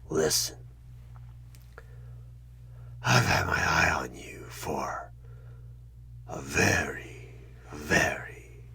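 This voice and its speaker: A man playing a sinister devilish tempter posing as a job interviewer in a horror ASMR roleplay, with a gravelly, breathy voice that often drops into a whisper and a menacing, persuasive manner. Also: very deep voice, a bit bright, full character voice. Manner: slow, menacing, persuasive, drawn-out pauses.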